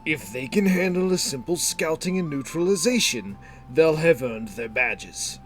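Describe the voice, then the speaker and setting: Grim fantasy guildmaster, acted by a male narrator: gruff, firm, slow, plain-spoken. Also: on the high side, expressive, soft background music, voice pushed up. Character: stern, grim guildmaster and seasoned commander.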